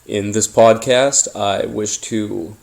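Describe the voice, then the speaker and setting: A man hosting a paranormal podcast, telling his story informally in a halting, rambling, conspiratorial way. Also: on the low side, fairly even intonation, vocal fry, darkish tone. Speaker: podcast host. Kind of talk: informal first-person storytelling monologue, paranormal audio drama.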